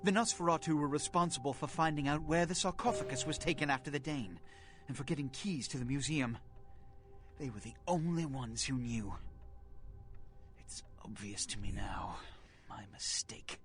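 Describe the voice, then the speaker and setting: An actor playing a pompous, embattled vampire prince in a video game, speaking in formal, melodramatic and indignant speeches. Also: male voice, medium pitch, moderate pace, lightly acted. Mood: bitter realization. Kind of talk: dramatic video game voice acting.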